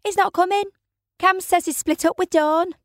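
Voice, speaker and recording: A woman's voice: very high-pitched, fairly even intonation, normal speed, no echo, clean studio sound, pitched above the performer's own voice. A woman voicing a teasing, gossipy teenage girl. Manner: chatty, knowing, teasing.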